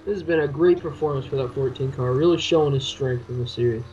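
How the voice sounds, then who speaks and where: A man's voice: medium pitch, quick pace, darkish tone, dull audio. A young man adding casual, joking color commentary to a simulated race broadcast. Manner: joking, offhand remarks. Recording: distant mic with faint noise.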